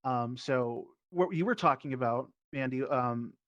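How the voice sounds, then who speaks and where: A man's voice: medium pitch, unhurried, fairly bright tone, dry recording, lo-fi sound. A man teaching performance, speaking reflectively and explaining his thoughts in a casual panel talk over a VR headset microphone.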